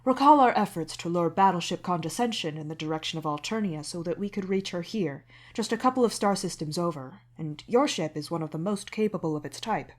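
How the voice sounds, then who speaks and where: A burly male troll engineer, played by a woman for an audio story, speaking a little low. He explains things in a formal, precise and serious manner, choosing his words with care. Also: brisk, few pauses, lightly acted. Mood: formal, matter-of-fact. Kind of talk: podfic character acting.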